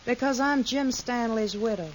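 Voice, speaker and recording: Woman acting coy scheming gal, highish voice, theatrical, noisy old radio sound. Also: moderate pace, close to an everyday voice. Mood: mock sweet. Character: brazen, scheming young frontier gold-digger, falsely sweet. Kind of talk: 1950s radio western acting.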